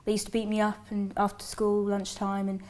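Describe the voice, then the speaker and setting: A woman's voice: mid-range voice, completely flat delivery, fairly quick, darkish tone. A young woman from Bangladesh, a guest in a TV studio, speaking English as her second language and telling her story earnestly, with lots of "you know" and "um". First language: not English. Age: young adult. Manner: earnest, many fillers and you knows. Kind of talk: personal story in a studio interview.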